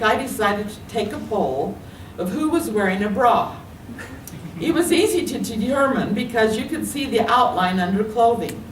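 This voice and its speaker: Older American woman, lively expressive reading. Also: medium pitch. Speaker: writer reading own work. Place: public reading in a library room. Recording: echoey room, distant mic, faint noise.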